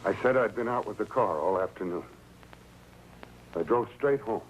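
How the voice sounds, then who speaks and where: A man's voice: on the low side, normal speed, lightly acted. An actor playing a guarded chauffeur with a shady past in a 1950s TV crime drama, hesitant and defensive in his answers. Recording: noisy 1950s TV soundtrack.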